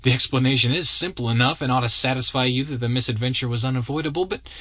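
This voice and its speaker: A bold young gentleman caller, brisk and defiant, his voice lifted above the reader's usual tone, as a male narrator plays him. He explains himself quickly and then entreats. The sound is dry and close. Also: mid-range voice, dry recording, pitched above the performer's own voice. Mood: defensive.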